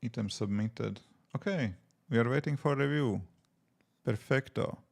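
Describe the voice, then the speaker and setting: A man's voice: mid-range voice, unhurried, gravelly. A man from Latvia speaking English with a Latvian accent, chatting casually on a live coding stream and thinking aloud with many fillers. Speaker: app developer streaming live. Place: home desk.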